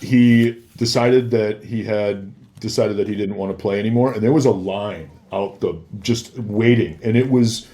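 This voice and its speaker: Low-voiced man telling casual stories. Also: moderate pace, few pauses, mellow. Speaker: podcast guest, former security worker and DJ. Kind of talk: casual anecdotal storytelling.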